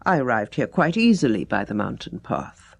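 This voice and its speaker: A woman's voice: extremely low pitch, sing-song, moderate pace, creaky, no room sound, voice pushed down, exaggerated voice. A woman voicing a logical male scholar in a storybook, reasoning matter-of-factly. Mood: reflective.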